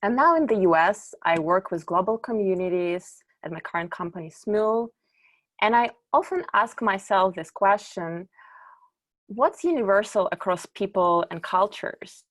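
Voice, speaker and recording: A middle-aged woman from Ukraine gives a conference presentation. Her voice sits at a middle pitch. She explains in a measured way and lifts her voice on key words. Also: fairly bright tone. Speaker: conference speaker, company executive.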